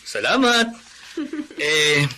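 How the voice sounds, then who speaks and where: A man's voice: fairly high pitch, sing-song, extremely slow, bright, forceful tone, full character voice. An actor in a radio drama playing a cheerful, teasing young male cousin. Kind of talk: classic radio drama acting.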